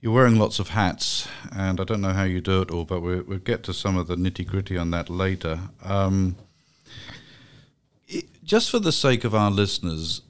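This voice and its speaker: Middle-aged man, podcast host, very deep voice, lively rising and falling intonation, measured formal delivery, studio mic in a small office. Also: smooth. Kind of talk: scripted intro then interview. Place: small office meeting room. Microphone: studio mic with pop filter.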